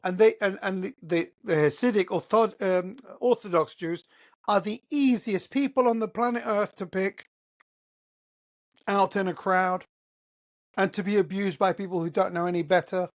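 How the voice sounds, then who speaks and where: A man's voice: high voice, moderate pace. A man with an English accent, hosting his own podcast as a solo monologue, talking emphatically and firing off rhetorical questions. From born in Qatar, raised in England. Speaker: podcast host.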